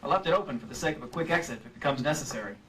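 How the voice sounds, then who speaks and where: A man's voice: medium pitch, animated, racing, background noise, lightly acted. A man playing a cool, wry insurance investigator who narrates matter-of-factly in old radio detective style. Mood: matter-of-fact narrating. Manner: hard-boiled, matter-of-fact. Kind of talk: 1940s-50s radio detective acting. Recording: live stage re-creation, distant mic, music.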